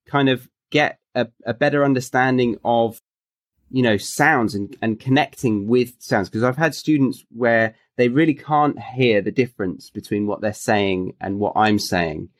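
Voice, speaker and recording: A man's voice: mid-range voice, unhurried. A man who hosts a podcast and teaches English, asking questions in a friendly, encouraging way. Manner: friendly, encouraging, lots of affirming fillers. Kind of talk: casual interview chat.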